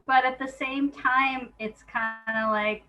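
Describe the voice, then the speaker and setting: Woman, interview guest, higher-pitched voice. Informal, reflective, halting delivery, thinking out loud. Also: steady tone, soft background music, low-quality recording. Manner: informal, halting, thinks aloud with frequent hedging and laughter. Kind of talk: casual reflective conversation.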